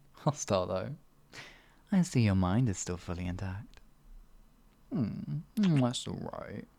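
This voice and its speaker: A man voicing a sadistic male wizard in an ASMR fantasy roleplay, cruel yet doting, teasing and cooing with soft whispers. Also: fairly low pitch, sing-song, takes it slowly, vocal fry, exaggerated voice. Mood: mocking. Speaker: voice actor.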